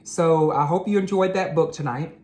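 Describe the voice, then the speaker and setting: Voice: medium pitch, normal speed, darkish tone. A read-aloud host narrating storybooks and talking to children in an encouraging, teacherly way.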